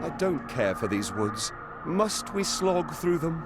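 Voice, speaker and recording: A man voicing a roguish, smooth-talking thief who is sly and flirtatious. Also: a little high, very animated, measured pace, over music. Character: roguish charming male thief and scoundrel. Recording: noisy background.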